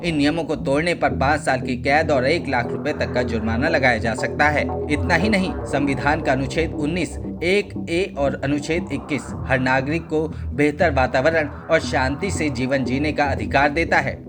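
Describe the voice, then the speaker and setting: A man narrates a scripted news explainer with a formal, expository delivery. His voice sits slightly higher than most male voices. Music plays clearly behind him throughout. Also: moderate pace, few pauses. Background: background music.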